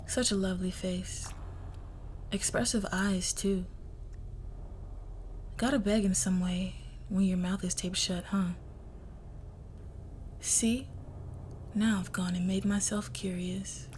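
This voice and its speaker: Woman playing a slow, teasing crime princess. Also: on the low side, darkish tone, a little hiss or hum, close to an everyday voice. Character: young crime boss's daughter, cool, teasing, menacing heiress. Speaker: audio roleplay performer. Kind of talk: scripted ASMR-style roleplay monologue.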